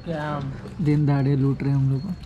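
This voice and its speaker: A man's voice: fairly high pitch, fairly even intonation, brisk, highs cut off, noisy background. A young man joking with friends on a trip, full of wisecracks. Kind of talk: casual chat.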